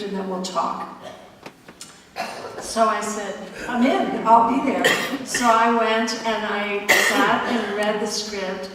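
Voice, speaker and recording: A woman's voice: medium pitch, slight background noise. Chatty woman in an echoing convention hall. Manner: chatty, animated storyteller. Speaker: panel guest actress. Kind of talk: anecdote at a convention panel. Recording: echoey hall from far off.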